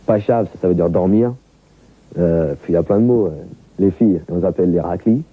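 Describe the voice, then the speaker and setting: Fast, husky low-voiced suburban man. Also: no room sound. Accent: Paris suburbs. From Montreuil, France. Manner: loose, slangy, full of fillers. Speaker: reggae artist interviewee. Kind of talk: casual interview talk. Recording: tinny lo-fi audio with music behind.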